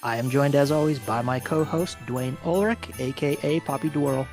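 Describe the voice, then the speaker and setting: A man's voice: mid-range voice, moderate pace, a little mellow, faint music behind. A man hosting a casual nutrition podcast as a coach, upbeat and explaining with many fillers.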